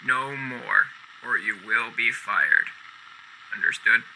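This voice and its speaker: Stern, sharp-voiced male boss. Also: mid-range voice, expressive, slow pace, creaky, slight background noise, exaggerated voice, poor audio. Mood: cold, threatening. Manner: curt, formal, disapproving. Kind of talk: golden-age radio drama acting.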